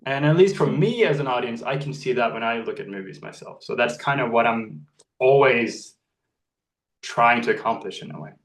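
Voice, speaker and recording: A man's voice: medium pitch, normal speed, far from the mic. Man from Norway, earnest and searching, mid-range voice, echoey, off-mic. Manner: earnest, searching, restarts phrases often. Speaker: actor and model, interview guest. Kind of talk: reflective casual conversation.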